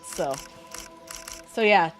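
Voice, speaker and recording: A woman's voice: very slow, fairly bright tone, soft background music. A young woman, chatting bluntly and opinionatedly while playing a game. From Canada. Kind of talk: casual gaming chat.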